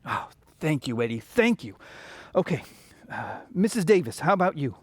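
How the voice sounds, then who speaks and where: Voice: mid-range voice, big pitch swings, moderate pace, breathy edge, no room sound. An actor playing an earnest, good-hearted young small-town banker in a radio drama, prone to flustered stammering. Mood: reassuring.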